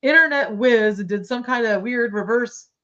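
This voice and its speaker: Hoarse lady cohost, giggly and excited, kinda dull lo-fi sound. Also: a little high, moderate pace. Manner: giggly and enthusiastic. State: hoarse, losing her voice. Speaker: co-host of a toy news show. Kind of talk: casual chat.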